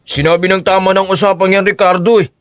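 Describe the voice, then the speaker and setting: A man's voice: high voice, little pitch movement, fast, no echo, studio quality, lightly acted. A man acting the young brothers and friend of a Filipino audio drama with emphatic, theatrical delivery. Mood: firm, bossy.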